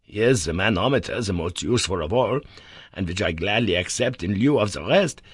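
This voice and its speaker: A man voicing an excitable, eccentric elderly professor who exclaims with high glee in a storybook reading. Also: fairly quick, slightly rough, no echo, clean studio sound. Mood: gleeful.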